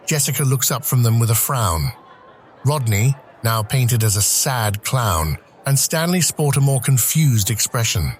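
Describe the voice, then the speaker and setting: A man's voice: medium pitch, lively intonation, a little slow. A man narrating scripted stage action as the narrator of an audio drama.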